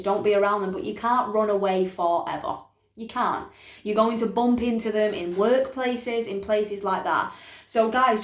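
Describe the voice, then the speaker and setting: A woman talks informally in a roomy, echoing space, like a spiritual teacher addressing her followers. Her pitch sits in the middle for a woman. She is emphatic, circles back over each point and keeps checking that listeners are with her. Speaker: spiritual teacher presenting a self-help video. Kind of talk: informal explanatory talk to camera. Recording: echoey, roomy sound.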